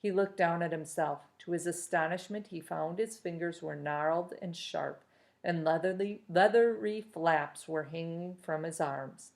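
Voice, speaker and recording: A woman reading a novel aloud chapter by chapter, with steady storytelling. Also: sits low, gravelly.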